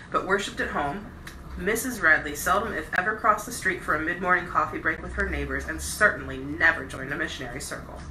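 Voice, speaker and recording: A woman with a low, very bright and forceful voice reads literary fiction aloud. Her delivery is expressive and deliberate, leaning on key phrases. She sounds set back from the mic in an echoey room. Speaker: storyteller reading a novel aloud. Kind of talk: bedtime story reading, literary narration. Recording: roomy echo, distant mic, faint noise.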